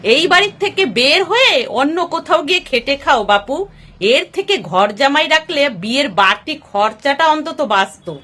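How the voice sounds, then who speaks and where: A woman puts on a bossy mother-in-law from a storybook tale, sharp-tongued and brisk. The voice is high and bright with big pitch swings. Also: lively intonation, exaggerated voice. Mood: scornful. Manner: brisk ordering and scolding.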